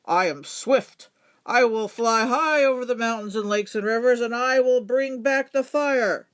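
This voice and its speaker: A woman's voice: a little high, unhurried, close to an everyday voice. A woman voicing a bold, proud male buzzard in a storybook, declaiming grandly. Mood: proud, resolute.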